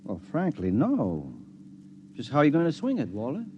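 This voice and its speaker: An actor playing a skeptical, easily astonished married friend in an old radio drama, with a hesitant, incredulous manner. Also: male voice, mid-range voice, huge pitch swings, moderate pace, slight background noise. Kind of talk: mid-century radio drama acting. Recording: dull vintage radio recording.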